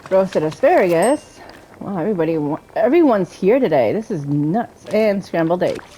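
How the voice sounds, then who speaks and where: A woman's voice: on the low side, animated, moderate pace, dark tone, slight background noise, poor audio. A woman giving casual gameplay commentary, chatting and thinking aloud as she goes. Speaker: game streamer playing and commentating. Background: game music.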